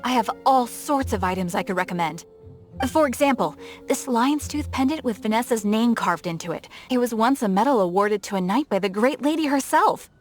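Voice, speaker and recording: A chatty, effusive shopkeeper , played by a woman, who gushes like an eager fan while she sells her wares. Her voice sits a bit high, with faint game music in the background. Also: expressive, fairly quick, close to an everyday voice. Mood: gushing. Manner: bubbly, effusive saleswoman. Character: gushing female souvenir shopkeeper, eager fan. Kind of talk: video game voice acting.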